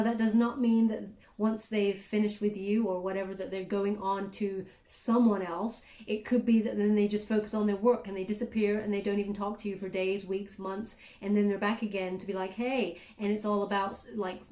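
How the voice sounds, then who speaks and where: A woman with a warm, mellow tone gives a casual tarot reading in a roomy, echoing space. She talks in a loose, chatty way, full of fillers and asides, and often checks in with "okay?". Her pitch sits in the middle for a woman. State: clearing throat, voice catching. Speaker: tarot reader.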